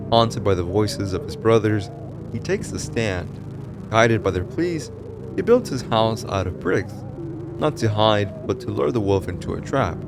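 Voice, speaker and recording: A man with a fairly low voice narrates a scripted explainer of a horror tale. His delivery is dramatic and ominous, like a storyteller setting out a dark account. Music plays clearly underneath his voice. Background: music behind the voice.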